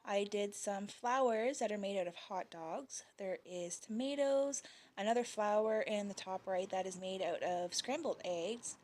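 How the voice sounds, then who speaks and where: A woman's voice: medium pitch, a little slow, darkish tone, slight background noise. A young woman talking casually about her kids' lunches, chatty with small laughs, her voice slightly worn. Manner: chatty, laughs lightly, fillers like um and uh. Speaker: parent showing kids' lunches. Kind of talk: casual voice-over vlog.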